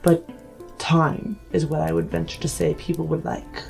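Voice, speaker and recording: A woman playing an aloof, self-assured figure of influence in a roleplayed audio drama, speaking formally with thoughtful pauses. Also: low-pitched, flat delivery, creaky, darkish tone. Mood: reflective. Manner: measured, formal, pausing for thought. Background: music.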